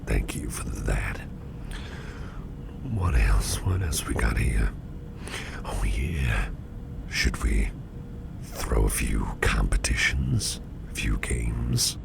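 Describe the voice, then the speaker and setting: A man playing an attentive, easygoing businessman boss in a soft, breathy, whispery ASMR roleplay, unhurried and soothing, murmuring close to the microphone. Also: animated, noisy background, full character voice. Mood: relaxed, musing. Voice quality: very low, gravelly, breathy near-whisper. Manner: unhurried, soothing, indulgent, musing aloud.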